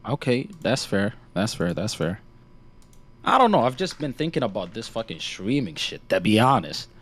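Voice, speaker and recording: A man's voice: fairly low pitch, very animated, normal speed, fairly bright tone. Young man, casual call chat, blunt teasing, constant swearing. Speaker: interviewer on a stream call.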